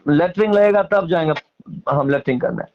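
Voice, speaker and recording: A man's voice: high voice, a little fast, muffled recording. A man with a Bihari accent speaking casually as an interviewee, emphatically airing grievances in colloquial, repetitive phrasing. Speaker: interviewee, singer and stage performer.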